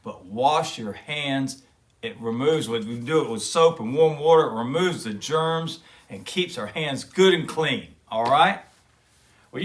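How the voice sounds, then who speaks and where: A man hosting a kids' show, talking gently and folksily to children between guitar demos. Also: mid-range voice. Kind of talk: friendly talk to kids with guitar demos. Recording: music loud in background.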